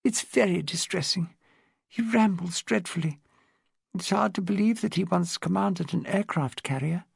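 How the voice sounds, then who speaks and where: A man voicing a frail, refined old woman over seventy who talks in a gently fussing, well-bred way. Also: high-pitched, moderate pace, vocal fry, husky, dry recording, clean studio sound, voice pushed up, full character voice. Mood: fretful.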